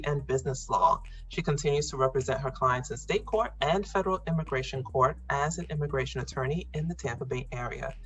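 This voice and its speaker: A woman podcast host with a husky voice, giving scripted introductions and interview questions. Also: low voice, a bit bright, rough recording.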